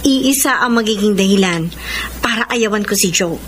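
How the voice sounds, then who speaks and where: A woman's voice: medium pitch, normal speed, a bit bright, soft background music, lightly acted. An actress playing a frank, demanding young girlfriend in a Filipino radio drama, with a Manila Tagalog accent. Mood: assertive. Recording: noisy ambience behind the voice.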